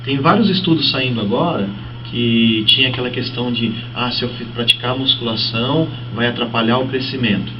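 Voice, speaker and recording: A man's voice: mid-range voice, fairly quick, few pauses. A man with a Brazilian accent, speaking as a guest fitness trainer and explaining conversationally with examples. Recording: muffled, noisy, distant-sounding broadcast audio.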